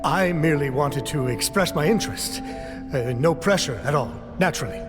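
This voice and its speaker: An actor playing a polite, calculating investor in a video game, formal and courteous in manner. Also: male voice, on the high side, expressive, moderate pace, music in the background, close to an everyday voice. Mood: nervous, deferential. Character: polite male investor, calculating or nervously deferential. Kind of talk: video game character acting.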